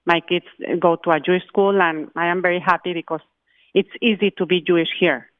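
A woman's voice: on the low side, steady tone, a little fast. A woman from Mexico speaks with a Mexican Spanish accent over a tinny phone line. She talks carefully, stopping and restarting as she explains things. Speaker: charity group chair. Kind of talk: phone interview.

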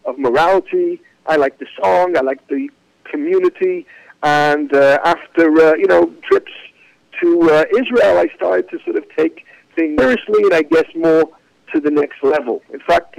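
A man, a rabbi talking about his life as a guest on a casual podcast, reflective and often pausing with "uh, you know.". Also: on the high side, gravelly, no echo, rough recording. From Manchester, England.

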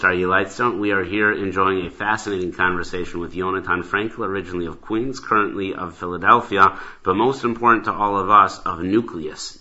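A man's voice: low voice, sharp tone, highs cut off. A man hosting a conversational interview show, talking in a wordy way and rephrasing as he goes. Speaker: talk show host and interviewer. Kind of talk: conversational interview with sponsor plugs.

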